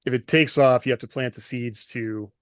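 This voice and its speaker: A man with a mid-pitched voice hosts a podcast, recorded close and dry with no room echo. He is easygoing and keeps the talk moving with questions. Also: fairly even intonation, normal speed, a bit bright. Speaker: podcast host. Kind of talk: casual panel chat, moderating.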